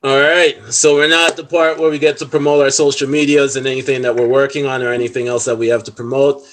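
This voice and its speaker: A man's voice: medium pitch, little pitch movement, fast, fairly bright tone. Casual Toronto-accented male podcast host. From Canada. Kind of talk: casual sports panel podcast.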